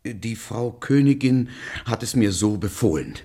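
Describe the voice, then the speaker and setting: Slow, anguished royal huntsman. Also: on the low side, animated, mellow, breathy edge, exaggerated voice. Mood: hesitant, grave. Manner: halting, solemn, anguished. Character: reluctant, fearful, obedient royal huntsman, soft-hearted. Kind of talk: German radio play, theatrical.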